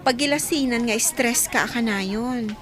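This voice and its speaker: An actress playing a concerned friend who gives advice in an Ilocano radio drama. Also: female voice, on the high side, a little slow, lightly acted.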